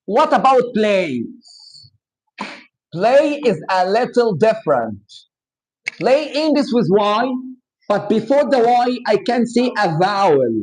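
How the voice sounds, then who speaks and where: A man with an Egyptian accent teaching English grammar to a class. He explains slowly and patiently, with Arabic as his first language. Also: high-pitched, very animated, a little mellow, poor audio. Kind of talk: live classroom grammar lesson.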